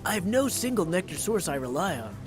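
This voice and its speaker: A man's voice: high-pitched, very animated, a little fast. A man voicing a poised, earnest young witch goddess from a video game, speaking in a formal, composed way. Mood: dry, curious. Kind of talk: video game character dialogue. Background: music.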